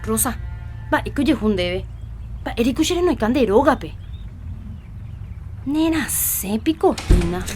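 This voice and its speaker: Caring village catechist: higher-pitched Paraguayan woman, radio soap, faint music behind. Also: sing-song, a little slow, long pauses, darkish tone. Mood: concerned. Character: Juliana, caring, busy catechist woman organizing a village festival. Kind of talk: radio soap opera acting in Jopara.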